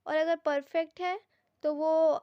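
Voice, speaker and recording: A woman's voice: extremely high pitch, steady tone, slow pace, dry recording, highs cut off. A woman with an Indian accent giving an informal grammar lesson as a teacher, explaining things directly to her students. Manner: explanatory, addresses viewers directly, mixes Hindi and English.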